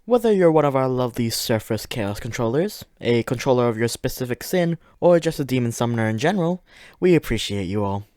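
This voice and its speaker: A man playing a suave, high-ranking demon in an audio drama, theatrical, courteous and faintly condescending. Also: sing-song, fairly quick, hardly any pauses, darkish tone, no echo, studio quality, natural voice. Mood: flustered, courteous. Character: suave male demon of high rank, flamboyant, courteous, menacing. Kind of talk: fiction podcast audio drama acting.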